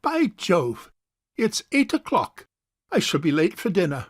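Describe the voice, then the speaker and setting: An actor playing a stubborn, wealthy bachelor uncle in a stage farce, formal and stuffy. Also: male voice, high-pitched, wildly swooping pitch, unhurried, dry recording, clean studio sound, full character voice. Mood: flustered. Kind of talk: stage farce audio drama acting.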